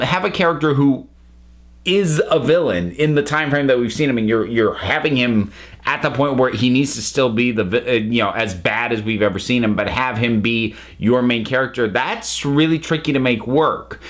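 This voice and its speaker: A man's voice: mid-range voice, moderate pace, a bit bright. A man reviewing audio dramas in a casual, conversational way, thinking aloud and interrupting himself as he goes. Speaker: reviewer, channel host.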